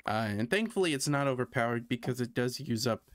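A man's voice: medium pitch, lively intonation, fairly quick, a bit bright. A man from Canada chatting casually while streaming a building game, thinking aloud and poking fun at himself. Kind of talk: casual gameplay commentary.

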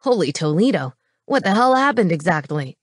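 A woman's voice: lowish voice, big pitch swings, fairly quick, no echo, clean studio sound. Woman playing a snippy, nerdy male scientist. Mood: shocked. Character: scrawny, nerdy male scientist, irritable, frank.

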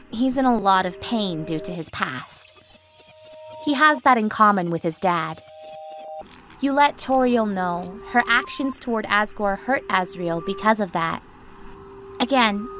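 A woman's voice: mid-range voice, a bit bright, slight background noise. A woman playing a plain, matter-of-fact second-person narrator in an amateur comic dub. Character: matter-of-fact narrator speaking for a silent child.

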